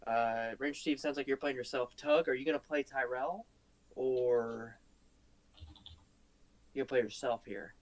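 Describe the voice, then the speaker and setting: A man's voice: mid-range voice, steady tone, unhurried, long pauses. A man playing a tabletop game, chatting in a casual, playful way.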